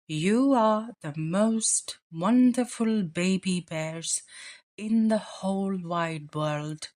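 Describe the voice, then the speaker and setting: A woman's voice: medium pitch, very slow, darkish tone. A woman voicing a tender, adoring mother bear with a soothing manner in a children's storybook read-aloud.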